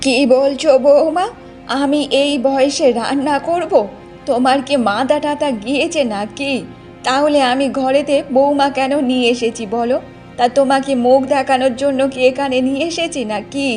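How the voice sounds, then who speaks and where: A woman voicing an aging, exasperated village mother-in-law in a cartoon folktale, nagging in a drawn-out way. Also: sits high, steady tone, a little mellow, faint music behind, lightly acted. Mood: scolding, exasperated. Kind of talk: Bengali cartoon folktale acting.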